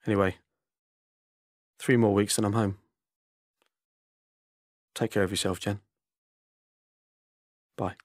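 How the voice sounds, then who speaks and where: A man playing an awkward soldier far from home speaking to his wife, halting, self-deprecating and plain-spoken, in a naturalistic monologue. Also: on the low side, level tone, a little mellow, no echo, studio quality. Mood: awkward, wry. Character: homesick soldier husband awkwardly recording a message to his wife.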